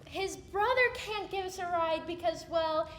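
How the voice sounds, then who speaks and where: Young woman acting gushing high-pitched teenage girl. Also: unhurried, fairly bright tone, a little hiss or hum. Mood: pleading. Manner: gushing, wheedling, dramatic. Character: excitable teenage girl pleading with a sibling for a ride. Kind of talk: amateur dramatic monologue.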